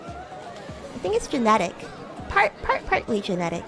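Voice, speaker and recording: Woman as young female demon student: bratty, high, very animated, improvised stream roleplay over music. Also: full character voice. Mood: curious, animated. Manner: teasing, giggly, animated, halting and questioning. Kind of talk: improvised in-character livestream roleplay chat. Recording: music and crowd murmur behind. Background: music and crowd ambience.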